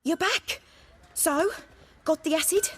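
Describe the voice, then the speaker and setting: An actress playing a proud, determined armorer in a fantasy game, direct and self-assured. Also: female voice, very high voice, slow pace, a bit bright, exaggerated voice. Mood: brisk, determined. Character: proud, determined female armorer, confident in her craft. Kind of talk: fantasy video game acting.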